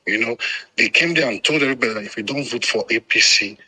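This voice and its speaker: A man's voice: mid-range voice, quick pace, pushed and bright, slightly rough, low-quality recording. A man from Lagos with a Nigerian accent, calling a radio phone-in, speaking emphatically and spontaneously with repetition and some Pidgin. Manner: agitated, emphatic, repeats himself.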